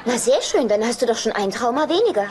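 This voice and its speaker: A cheerfully unshockable woman in a dubbed sitcom. Her voice is on the high side and matter-of-fact, with clear noise behind it. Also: big pitch swings, fast talker, crowd noise. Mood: breezy, unshockable. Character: quirky, cheerfully unshockable woman psychologist friend. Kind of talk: German sitcom dubbing.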